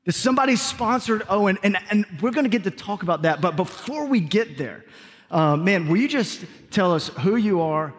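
A man preaching to his congregation as pastor, energetic, with call-and-response asides, then interviewing a guest on stage. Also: sits high, normal speed, slightly rough. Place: large church sanctuary. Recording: reverberant church hall.

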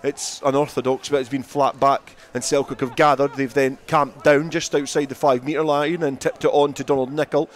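A man's voice: mid-range voice, flat delivery, normal speed, hardly any pauses. A man giving live play-by-play rugby commentary from the ground.

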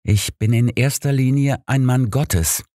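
A man voicing a devout, candid and measured male pastor in an audiobook. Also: mid-range voice, warm tone, no room sound, studio quality, voice pushed up, lightly acted. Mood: earnest.

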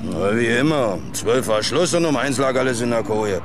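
An actor playing a flippant, slightly defensive fairground ride owner, talking colloquially in a German radio crime play. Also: male voice, medium pitch, expressive, moderate pace, lightly acted. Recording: noisy outdoor fairground sound scene.

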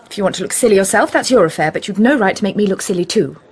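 A woman's voice: mid-range voice, animated, very fast, husky. A woman acting. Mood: haughty, flustered. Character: haughty, pretty young society woman. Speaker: actor in an audio play. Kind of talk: British radio drama acting.

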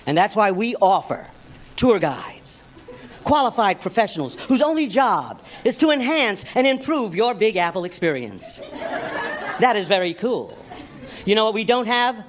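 An older man from New York City doing stand-up comedy, emphatic and animated, performing to a crowd. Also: sits high. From New York City, United States. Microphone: stand microphone on stage. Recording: noisy comedy club with audience.

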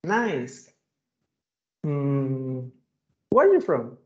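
A man's voice: medium pitch, big pitch swings, drawn-out words. A man speaking hesitant beginner English as a student in an online class. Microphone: video call. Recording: muffled video call audio.

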